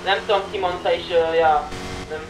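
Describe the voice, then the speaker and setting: A woman's voice: lowish voice, steady tone. A woman chatting casually as a player in an online roleplay game. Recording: distant echoey voice chat, vehicle noise.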